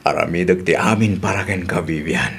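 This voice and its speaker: A man playing a smooth, reassuring secret boyfriend in a radio drama. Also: low voice, expressive, fairly quick, heavily acted.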